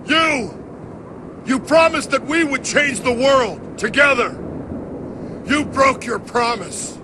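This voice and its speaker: A man's voice: very high-pitched, slow, background noise. A man playing a betrayed, megalomaniacal computer program in a game cutscene, bitter and intensely accusing toward his creator. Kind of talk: dramatic game cutscene acting. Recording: music behind the dialogue.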